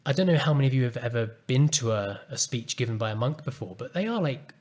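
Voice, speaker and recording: Calm, earnest man telling a personal story. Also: mid-range voice, fast. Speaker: podcast host and coach. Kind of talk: reflective solo podcast talk.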